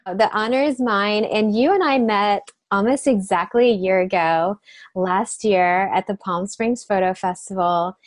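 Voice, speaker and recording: A woman's voice: medium pitch, measured pace, dry recording. A woman business coach interviewing a guest in a gushing, complimentary way. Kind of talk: interview chat.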